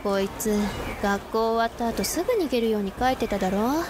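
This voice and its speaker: A woman's voice: fairly high pitch, lively intonation, fairly quick, very noisy, natural voice. A woman playing a blunt, pushy tomboyish teenage schoolgirl in a radio drama, speaking brusquely. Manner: brusque, rough-spoken. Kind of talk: Japanese radio drama acting. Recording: loud outdoor street ambience.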